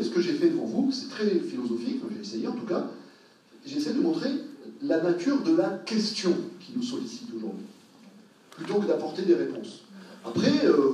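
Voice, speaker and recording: A man's voice: a little high, dark tone, slight background noise. Hesitant then fervent man in a hall. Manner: thinking aloud with many hesitations, rising to passionate emphasis. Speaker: speaker at a public talk. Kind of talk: public talk, reflective and improvised. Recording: very echoey hall from far off.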